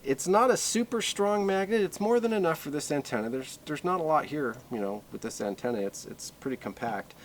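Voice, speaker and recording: A man giving a casual, unscripted product overview in a halting, conversational way with plenty of filler words. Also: fairly high pitch, expressive, a little hiss or hum. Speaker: product reviewer.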